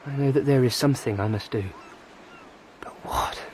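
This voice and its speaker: A man's voice: medium pitch, moderate pace, a little mellow, natural voice. An actor playing young King Arthur, an earnest, brooding, guilt-ridden ruler in a radio drama. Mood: remorseful. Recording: noisy outdoor ambience behind voice.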